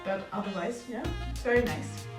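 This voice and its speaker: A young woman in her twenties speaks English with a French accent, her first language being French. She answers in a measured, thoughtful way, heard from a little way off the mic in a roomy space, with music playing underneath. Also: mid-range voice. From France. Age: 20s. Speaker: guest, university student. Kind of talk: casual conversation. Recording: echoey room, mic at a distance, music behind.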